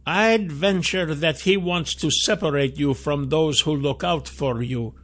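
Old wizard, protective and unhurried, high thin voice put on by a male narrator. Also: a bit bright. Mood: earnest.

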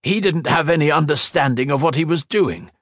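A man's voice: on the high side, fairly quick, a bit bright, no echo, clean studio sound, voice pushed up. A man voicing an anguished male scientist who pleads for his creation in a strained voice. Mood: pleading. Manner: pleading, strained, rising to outbursts.

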